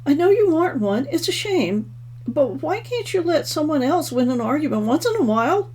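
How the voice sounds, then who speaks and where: A woman's voice: high voice, big pitch swings, moderate pace, a little mellow, voice pushed up. A woman voicing a timid, flustered stepmother in a storybook reading, with a pleading, apologetic manner. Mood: reproachful. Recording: clearly noisy home recording.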